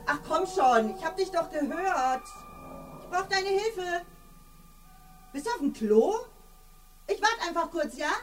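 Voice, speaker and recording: A woman's voice: extremely high pitch, animated, unhurried, long pauses, noisy background. An actress playing a pushy yet flustered female neighbour in a radio play, insistent and hesitant. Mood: coaxing, insistent. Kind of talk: German radio play acting.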